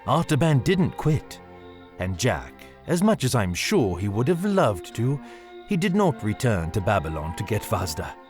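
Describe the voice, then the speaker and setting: A man's voice: fairly low pitch, very animated, measured pace, darkish tone, faint music behind. A man playing a patient father telling a story to his children in an audio drama, in articulate phrasing. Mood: patient, engaged.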